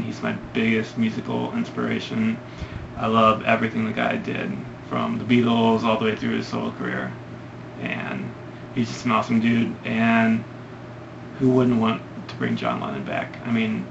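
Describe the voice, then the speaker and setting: A man's voice: mid-range voice, moderate pace, vocal fry. A young man from Ohio answering viewer questions in a casual vlog, hesitant and rambling with many ums. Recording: lo-fi, noisy home recording.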